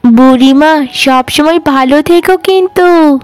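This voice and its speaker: A woman's voice: high-pitched, slow pace, soft background music. A woman voicing a meek, earnest orphaned village girl in a cartoon. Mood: grateful. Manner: earnest, plaintive, grateful.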